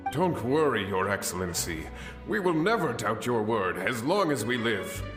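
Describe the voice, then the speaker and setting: A man's voice: mid-range voice, sing-song, moderate pace, lightly acted. A man playing a proud, devoted samurai soldier in a video game, earnest and declamatory. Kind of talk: dramatic video game voice acting. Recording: echoing voice under clear game music.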